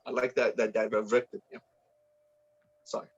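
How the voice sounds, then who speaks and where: A man giving informal notes as a director, halting and searching for his words. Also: lowish voice, flat delivery, breathy edge, highs cut off.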